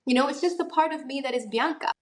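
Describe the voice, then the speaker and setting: A woman's voice: high-pitched, fast. A young adult woman, an actress and singer, talking earnestly in a conversational interview, musing aloud with lots of fillers.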